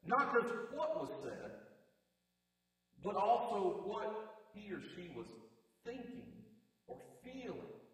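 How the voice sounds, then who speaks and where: Brief: male preacher, big low voice, wry asides, picked up from a distance in a roomy hall. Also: very animated, takes it slowly, long pauses, a bit bright. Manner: conversational, wry asides to the congregation. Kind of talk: sermon. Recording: echoey hall, distant mic.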